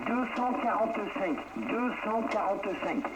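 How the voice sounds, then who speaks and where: Noisy radio voice, high-pitched hesitant man. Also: sing-song. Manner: hesitant, halting, pauses to search for words. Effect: two-way radio transmission. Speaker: amateur CB radio operator. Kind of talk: casual radio contact chat. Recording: noisy CB radio transmission with static.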